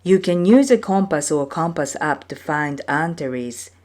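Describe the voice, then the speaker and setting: A woman reading from a folktale book as a storyteller, with measured, deliberate phrasing. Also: low voice, lively intonation, a little mellow. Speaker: storyteller, reader. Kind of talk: scripted narration of a folktale book.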